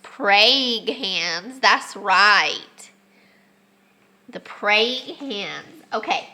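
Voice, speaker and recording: Congested young mom vlogging a home lesson. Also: female voice, medium pitch, animated, extremely slow, bright, forceful tone. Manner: chatty, with many ums and restarts. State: congested, losing her voice. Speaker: homeschooling mom. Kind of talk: casual home vlog lesson. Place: family home with children.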